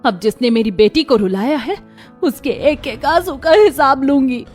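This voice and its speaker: A woman's voice: sits high, moderate pace, darkish tone, husky. A woman voicing a caring, indignant middle-aged mother-in-law in a melodramatic cartoon family drama, earnest and emphatic. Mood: vengeful. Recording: music under the voice. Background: background music.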